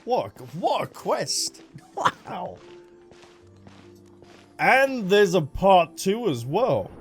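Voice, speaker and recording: Animated man with a high-pitched voice. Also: extremely slow. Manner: thinks aloud in reaction to the story. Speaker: game streamer. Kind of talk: casual reaction commentary on a video game. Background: game music.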